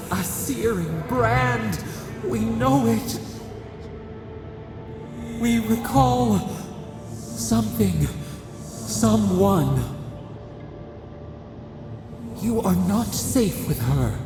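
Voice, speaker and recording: An ancient spirit whispering riddles inside someone's head in a fantasy game. The voice is heavily creaky and drags out each solemn fragment at a crawl. It hangs in a long, ghostly reverb. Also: medium pitch, expressive, heavily acted. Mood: ominous warning. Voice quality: creaky, gravelly. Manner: solemn, cryptic, halting fragments. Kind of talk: video game acting. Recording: heavy reverb under music. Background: music.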